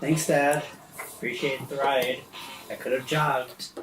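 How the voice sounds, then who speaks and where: A woman's voice: extremely low pitch, level tone, slow, over loud music, voice pushed down. A woman playing a polite, defensive girl in a casual tabletop roleplaying game. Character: polite, defensive girl, daughter of well-off family. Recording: roomy, noisy, off-mic sound.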